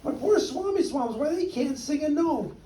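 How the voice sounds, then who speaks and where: A man's voice: extremely high pitch, lively intonation, moderate pace, dark tone, a little hiss or hum, voice pushed up. A man voicing a small, grumpy male forest creature who scolds with a cough in a storybook reading. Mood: scolding. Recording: roomy, distant from the mic.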